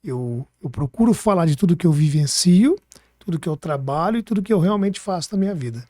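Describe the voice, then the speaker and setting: A man's voice: fairly high pitch, lively intonation, fairly quick, warm tone, no echo, studio quality. A man with a Brazilian accent hosting a podcast in a casual, colloquial way, often checking for agreement with short tag questions. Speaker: podcast host. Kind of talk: casual chat, interviewing.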